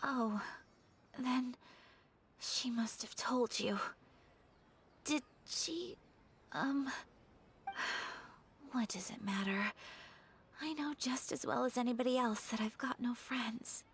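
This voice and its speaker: A young noblewoman from an anime game, shy, earnest and very courteous. The voice is high and gentle, with formal phrasing. A faint noise runs under the recording. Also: slow, pauses often. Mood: shy, downcast.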